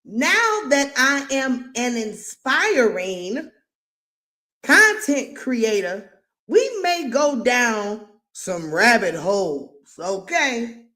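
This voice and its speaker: A woman's voice: on the high side, sing-song, sharp tone. A woman who stutters, hosting a live recap of a reality show with emphatic, preachy commentary. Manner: emphatic and preachy, drawn-out stresses.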